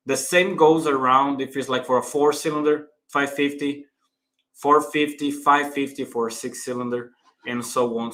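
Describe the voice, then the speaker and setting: A man with a sharp, bright voice sits at a middle pitch. He talks casually as a technical director, guiding live viewers through a product range.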